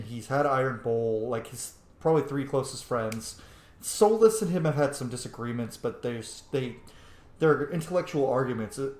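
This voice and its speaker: A man giving casual, reflective commentary as he plays a roleplay video game, talking conversationally. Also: mid-range voice. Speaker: gaming video host. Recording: roomy, echoing sound.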